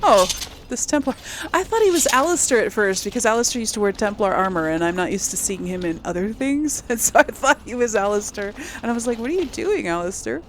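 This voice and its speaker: Woman with lively, slightly creaky voice. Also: fairly high pitch, a little fast. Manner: chatty, thinking aloud. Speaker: video game streamer, host. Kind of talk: casual let's play commentary. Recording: faint background game noise.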